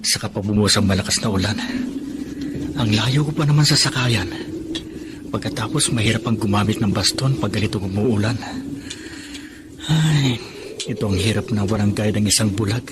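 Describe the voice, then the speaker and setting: A man's voice: lowish voice, normal speed, long pauses, very noisy, close to an everyday voice. A man acting an earnest, hardworking college student in a Filipino radio drama, in a conversational way. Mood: worried.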